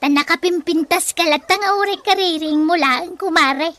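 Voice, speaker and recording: A woman's voice: extremely high pitch, moderate pace, bright, forceful tone. An actress playing a brash, vain housewife and mother who is always borrowing, in a sassy radio comedy-drama style. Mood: wheedling flattery.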